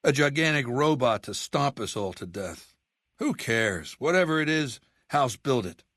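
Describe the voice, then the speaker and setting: An imperious male warlord from a video game, ruthless and learned. His voice sits at a medium pitch and is commanding and articulate, with open contempt for lesser minds. The sound is dry, with no room around it. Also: very animated, measured pace, a bit bright, dry recording, heavily acted. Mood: dismissive. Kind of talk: video game character acting.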